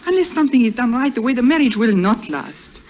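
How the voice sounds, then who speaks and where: An actress playing a young, unhappy French wife and former stage performer, with a French accent and melodramatic, formal manner, in a vintage radio drama. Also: female voice, a little high, lively intonation, fast, darkish tone, lightly acted. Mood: distressed. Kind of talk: 1950s radio drama acting. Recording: faintly noisy vintage radio recording.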